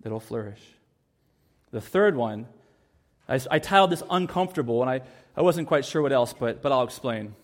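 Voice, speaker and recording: A man's voice: medium pitch, animated, normal speed, long pauses. A man from the United States preaching a sermon in church, earnest and repeating key phrases for emphasis. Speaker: pastor.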